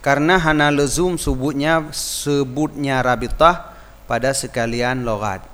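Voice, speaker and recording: A man with an Acehnese accent speaks as an Islamic teacher in a very reverberant space. His voice sits at a middle pitch. As a native Acehnese speaker, he moves between measured reading of a religious text and informal explanation. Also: fairly bright tone. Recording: reverberant hall-like echo.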